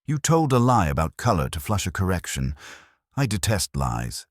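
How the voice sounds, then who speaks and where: A man's voice: low-pitched, very animated, normal speed, no room sound, studio quality. A man voicing a ponderous, haughty older detective who pronounces formal, pedantic judgments in an audiobook mystery. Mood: lofty, disapproving.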